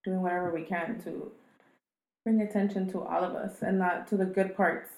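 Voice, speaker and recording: A woman's voice: lowish voice, steady tone, moderate pace, darkish tone, echoey room, poor audio. A woman who co-owns a restaurant, speaking bluntly and matter-of-factly in a casual interview. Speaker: restaurant co-owner.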